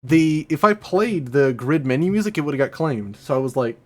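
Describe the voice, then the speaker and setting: A man's voice: on the high side, lively intonation, normal speed. A man hosting a live stream, chatting casually with frequent restarts and offhand swearing. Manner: stammering restarts, laid-back, swears casually. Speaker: streamer host.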